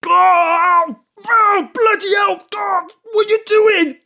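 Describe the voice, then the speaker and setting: A woman's voice: very high-pitched, steady tone, slow pace, creaky, sharp tone, exaggerated voice. A woman playing a sleazy, lecherous male thug who blusters, whines and stammers in an audio drama. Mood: pained, shocked. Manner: stammering, whining.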